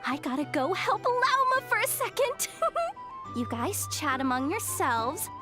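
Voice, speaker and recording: A woman's voice: extremely high pitch, very animated, measured pace, heavily acted. A woman voicing a small, sassy, self-important but friendly merchant girl in a video game. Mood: helpful, breezy. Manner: self-important, playful, theatrical. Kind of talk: video game character acting. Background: game music.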